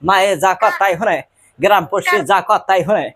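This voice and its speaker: A man putting on a high-voiced scolding village granny. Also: normal speed, fairly bright tone. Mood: angry scolding. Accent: rural Bangladeshi dialect. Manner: nagging, cursing, blunt. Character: scolding, foul-mouthed old village grandmother, accusing and bossy. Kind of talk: improvised prank call.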